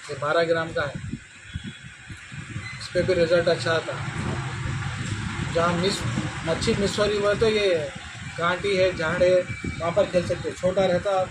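Young man, shop owner, product showcase, high-pitched, loud background noise. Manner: plain, repetitive product patter. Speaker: fishing tackle shop owner. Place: small shop with shelves of boxes, indoors.

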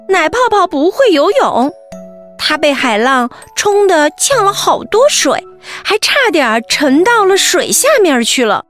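High, lively woman telling bedtime stories. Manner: animated, addresses children directly. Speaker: children's storyteller. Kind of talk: expressive bedtime story narration.

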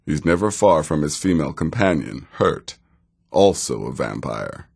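An actor playing a sardonic middle-aged desert assassin in a fantasy video game, with a very deep, gravelly voice and a dry, measured, theatrical delivery. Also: male voice, big pitch swings, a little mellow, exaggerated voice.

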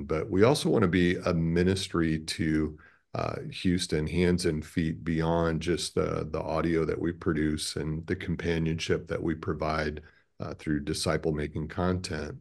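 A man in his fifties speaks in a low voice with a lot of fry in it. He answers interview questions conversationally and in a measured, hesitant way. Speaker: radio station president, interview guest. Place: small indoor office, on a video call.

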